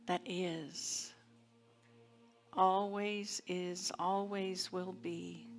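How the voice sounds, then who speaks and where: Slow-speaking woman minister preaching. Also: lowish voice, fairly bright tone, a little hiss or hum. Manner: deliberate, reverent, with long pauses. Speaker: minister leading a service. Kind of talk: sermon and guided prayer. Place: church service.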